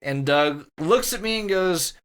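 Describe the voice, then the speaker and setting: A man from the Cayman Islands co-hosting a podcast, chatting casually and telling sweary, animated stories. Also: high-pitched, measured pace, clear, resonant tone. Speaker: podcast co-host. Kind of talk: casual group chat and storytelling.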